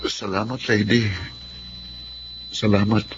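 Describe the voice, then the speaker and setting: A man's voice: lowish voice, little pitch movement, slow, highs cut off, music in the background. An actor in a Filipino radio drama playing a remorseful businessman boyfriend who is sick with covid. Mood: weak, pleading.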